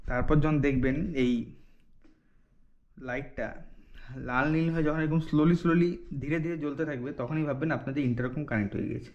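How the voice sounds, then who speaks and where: A man's voice: a little high, brisk, long pauses, darkish tone. A man giving a casual, step-by-step product demonstration in an explanatory way, often checking in with a short "okay". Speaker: product reviewer. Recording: dull lo-fi sound with faint noise.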